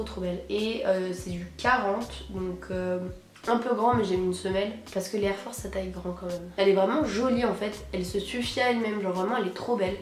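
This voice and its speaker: Young female vlogger. Medium pitch, husky from a cold, slangy enthusiastic chat, echoey room, faint music. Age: teens to young adult, high school student. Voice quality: hoarse, husky. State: hoarse with a cold. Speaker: sneaker vlogger presenting purchases. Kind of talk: casual chat to camera, slangy. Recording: roomy echo, music behind.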